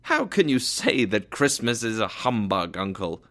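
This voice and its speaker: A man voicing a cheerful, warm-hearted young nephew in a storybook tale, earnest and eager. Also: lowish voice, sing-song, moderate pace.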